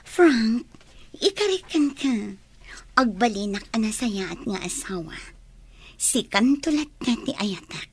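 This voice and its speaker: A woman's voice: a little high, animated, slow pace, slight background noise. An actress with an Ilocano Filipino accent playing a lovestruck, insistent woman who pleads emotionally in a radio drama. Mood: tender pleading.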